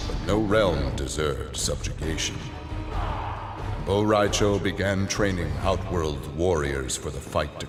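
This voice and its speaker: A man reading scripted, dramatic video game story narration in a solemn, measured manner. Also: deep voice. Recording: long reverb, music behind the voice. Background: music.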